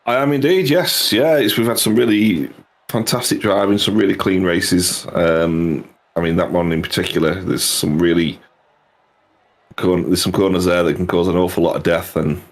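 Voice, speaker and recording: A man organising a racing league, talking in a halting, good-humoured way about the season. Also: low voice, fairly even intonation. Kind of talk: casual chat.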